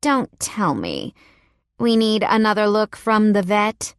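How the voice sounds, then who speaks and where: A woman voicing a condescending, cold middle-aged woman boss who talks in an oddly flat, patronizing way. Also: medium pitch, slow pace, clean tone, dry recording, clean studio sound, voice pushed up.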